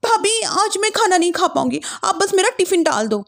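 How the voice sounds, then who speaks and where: A woman's voice: extremely high pitch, sing-song, normal speed, gravelly, voice pushed up, full character voice. A woman voicing a young working daughter-in-law who is indignant and defiant, arguing in a plaintive way. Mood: hurried, worried. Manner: plaintive, argumentative.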